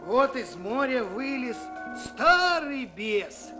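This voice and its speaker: A man narrating a verse fairy tale for a children's record, in a lively, rhythmic reading. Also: very high-pitched, slow pace, over loud music. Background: folk instrument ensemble.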